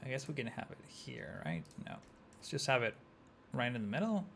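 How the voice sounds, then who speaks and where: Young argentinian guy streaming a city builder, gravelly voice, rambly, faint hiss behind. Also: mid-range voice, sing-song, brisk, a bit bright. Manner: rambling, chatty, talks to chat, many "like" fillers. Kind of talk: casual gameplay commentary.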